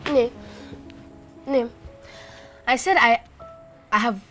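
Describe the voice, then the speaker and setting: A woman's voice: on the high side, takes it slowly, bright, forceful tone. A woman voicing a young Nigerian orphan girl who pleads and sobs, repeating herself over and over. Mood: coaxing, pleading. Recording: music playing underneath. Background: music.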